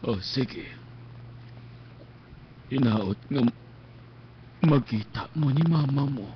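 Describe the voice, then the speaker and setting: An actor playing a gentle, ailing old father with a weak, halting delivery in a radio drama. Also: medium pitch, lightly acted. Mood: weary, wistful. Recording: muffled lo-fi stream, clear background noise.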